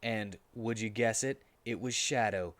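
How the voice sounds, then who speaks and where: A man's voice: fairly low pitch, a little slow, a bit bright. A man reading a silly story aloud in a theatrical, mock-serious comic style.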